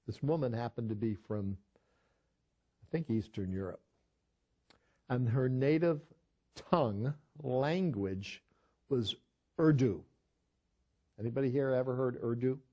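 A man's voice: medium pitch, expressive, unhurried, pauses often, dark tone, muffled recording. An older man, a pastor, teaching a Bible study in a conversational sermon style, telling a story earnestly with frequent restarts.

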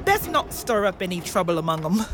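A woman's voice: lowish voice, very animated, a little fast, background noise. A woman playing a polite, worried reverend and mother in a fantasy audio drama, courteous and tactful. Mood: worried, diplomatic.

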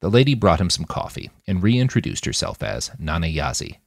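A man reading a scripted story aloud as an expressive audiobook-style narrator. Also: low voice, a little fast, vocal fry, no echo, clean studio sound. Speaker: author reading own fiction podcast.

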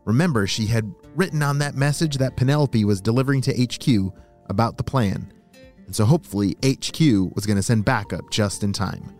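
A man hosting a children's story podcast, narrating in an animated, exclamatory way and speaking straight to young listeners. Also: medium pitch, a little fast, faint music behind.

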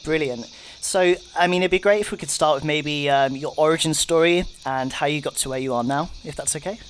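Higher-pitched man hosting a podcast. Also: fairly quick, a little hiss or hum. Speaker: podcast host. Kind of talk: podcast introduction and interview.

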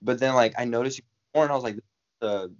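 A man's voice: medium pitch, racing, highs cut off. A man in his early twenties chatting casually as a podcast guest, telling stories in slangy, exclamatory bursts. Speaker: podcast guest, jiu-jitsu athlete. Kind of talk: casual chat.

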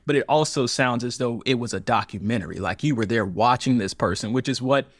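A man talking casually as a podcast guest, animated and laughing often, repeating words as he thinks aloud. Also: medium pitch, fairly quick, no echo, clean studio sound. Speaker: podcast guest, audio drama maker. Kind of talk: casual interview chat.

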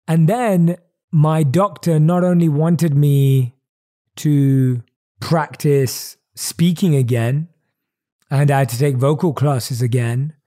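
Male host, motivational talk. Warm, mellow tone, mid pitch, reflective storytelling with slow stretched emphasis.

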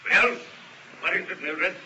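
A man's voice: high voice, a little slow. An actor playing a curt, irritable older businessman in a vintage radio drama, brusque and imperious. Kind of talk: 1950s radio drama acting. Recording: hissy vintage radio broadcast.

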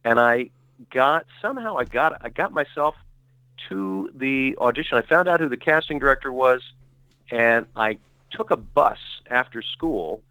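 A middle-aged actor speaking as a podcast guest, telling long stories in a rambling, self-correcting way full of fillers. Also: male voice, mid-range voice, lively intonation, measured pace, bright, forceful tone, dry recording, rough recording. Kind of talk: relaxed conversational storytelling.

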